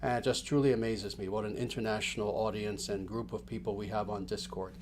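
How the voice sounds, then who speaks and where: Gentle rambling spiritual teacher, a man. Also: on the low side, steady tone, fairly quick, slight background noise. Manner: gentle, rambling, with many fillers and restarts. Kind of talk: livestream announcements to followers.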